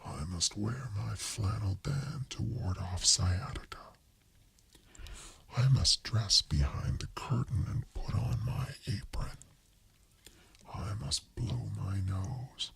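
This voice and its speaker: A meek, henpecked dead husband from a radio play, read by a man. He recites dutifully in a low whisper, creaky and full of breath. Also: slow pace, fairly bright tone, full character voice. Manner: dutiful, listing.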